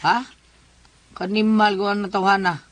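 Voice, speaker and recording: Man acting as shameless councilor husband, high bright voice, Cebuano accent, evasive, casual, comic radio drama. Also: flat delivery, measured pace. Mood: feigned bluster. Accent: Cebuano Filipino. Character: sly, shameless village councilor husband, brushing things off.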